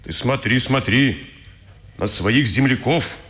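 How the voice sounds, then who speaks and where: An actor playing a mocking Nazi interrogator who feigns kindness in a needling, friendly way, in a 1950s Soviet radio drama. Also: male voice, mid-range voice, slow, fairly bright tone. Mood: taunting. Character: smooth mocking male Nazi interrogator, falsely kind.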